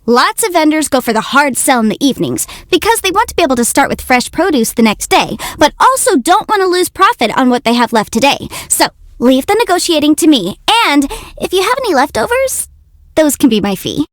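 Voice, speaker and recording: A woman's voice: high voice, big pitch swings, fairly quick, no echo, studio quality. A woman voicing a cute, confident young female legal adviser in a video game, youthful and articulate with a theatrical, self-assured delivery. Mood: friendly, chatty. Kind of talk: video game character voice lines.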